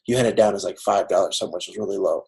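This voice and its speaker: A man with a creaky voice teaching an online class informally, explaining step by step in a halting way on a video call. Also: low voice, a little mellow. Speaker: instructor of an online college class. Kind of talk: informal lecture working through a cost example. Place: video conference.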